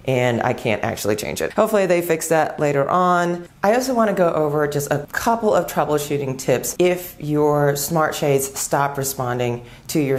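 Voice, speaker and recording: Very low-pitched woman giving a tech tutorial. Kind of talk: step-by-step product demo and tutorial.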